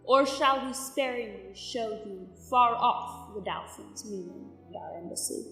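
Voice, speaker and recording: A young woman playing a French ambassador in a Shakespearean audio drama, formal and measured in verse. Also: fairly high pitch, fairly bright tone, recorded from a distance. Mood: deferential. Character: formal French royal ambassador bearing a scornful message. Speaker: amateur student actor. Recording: echoey hall reverb under music.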